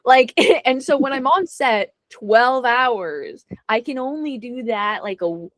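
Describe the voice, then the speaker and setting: A woman's voice: fairly high pitch, animated, takes it slowly, fairly bright tone, no echo. Young woman talking shop, laughs a lot, dead dry close sound. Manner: chatty, self-deprecating, laughs often. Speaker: guest actor. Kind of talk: casual interview chat.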